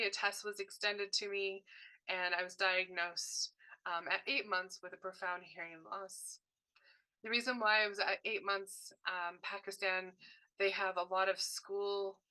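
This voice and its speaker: A woman's voice: mid-range voice, sharp tone, low-quality recording. A woman voicing a deaf presenter's signed workshop talk in short, broken phrases, often correcting herself mid-sentence. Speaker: sign language voice interpreter.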